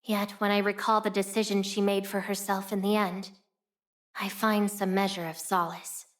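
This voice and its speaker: A woman's voice: medium pitch, flat delivery, moderate pace, close to an everyday voice. A woman voicing a proud, blunt young female fire warrior for a video game, with cool, cocky, nonchalant delivery. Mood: solemn, reflective. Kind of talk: scripted game character voice lines.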